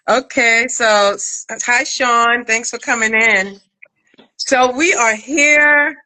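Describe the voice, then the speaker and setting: A woman's voice: on the high side, very slow, pauses often, bright, forceful tone, poor audio. A middle-aged woman hosting a casual live chat in an effusive, affectionate manner. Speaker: podcast writer-director hosting a live chat.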